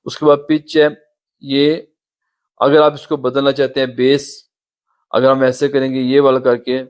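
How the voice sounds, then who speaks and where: A man's voice: mid-range voice, flat delivery, a little slow. A man hosting a step-by-step app tutorial, patiently pointing things out on the screen, recorded on a phone. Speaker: YouTube tutorial host.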